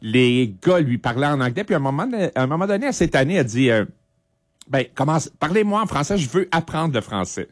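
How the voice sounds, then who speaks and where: A middle-aged man with a Quebec French accent, presenting a music segment on radio in a conversational, halting, informative way. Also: on the high side, big pitch swings, dry recording, studio quality.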